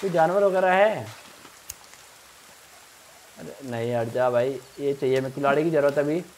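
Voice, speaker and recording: A man's voice: a little high, moderate pace, poor audio. A young man giving casual let's play commentary, thinking aloud and repeating himself as he plays. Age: young adult. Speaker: gaming streamer.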